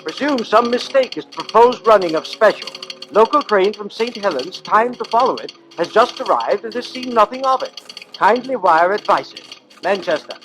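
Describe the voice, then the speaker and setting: An actor playing a railway stationmaster who reads out telegrams in a clipped, formal radio drama manner. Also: male voice, high voice, expressive, normal speed, fairly bright tone, slight background noise, low-quality recording. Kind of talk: old-time radio drama acting.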